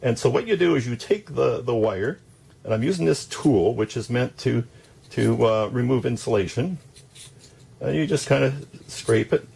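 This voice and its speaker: A man with a husky voice and plenty of creak talks through a casual DIY demonstration. He goes step by step, haltingly, at a middling pitch. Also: expressive, darkish tone. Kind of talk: casual hands-on tutorial.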